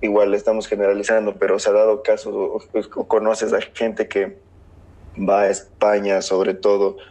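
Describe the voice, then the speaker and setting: Young southern Ecuadorian man, podcast guest: low, husky voice, mellow tone, earnest and full of examples. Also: monotone, moderate pace, poor audio. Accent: southern Ecuadorian Spanish. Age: young adult. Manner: earnest, explains with many examples. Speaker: guest economist. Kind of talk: casual podcast conversation.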